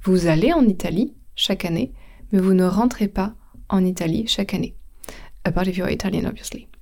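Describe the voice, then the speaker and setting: A woman's voice: medium pitch, brisk, creaky, a little mellow. A French woman teaching her language in a podcast lesson, explaining carefully and switching between French and English. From France.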